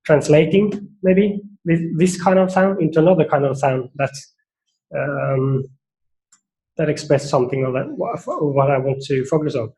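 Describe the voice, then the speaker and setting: A man's voice: a little high, normal speed, dark tone, low-quality recording. A man with a Norwegian accent giving an academic seminar talk, thoughtful and hesitant, with many fillers and restarts. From Norway. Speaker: seminar speaker.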